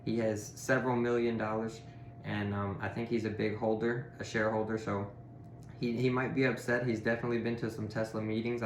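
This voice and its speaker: A man hosting a reaction video, commenting casually and chatting with viewers. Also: on the low side. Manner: chatty, informal asides. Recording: roomy echo, faint noise.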